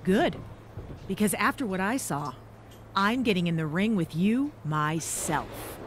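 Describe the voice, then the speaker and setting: A woman's voice: medium pitch, lively intonation, unhurried, slight background noise, close to an everyday voice. A woman voicing a tough, supportive female wrestling mentor who speaks firmly and encouragingly. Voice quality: firm, encouraging.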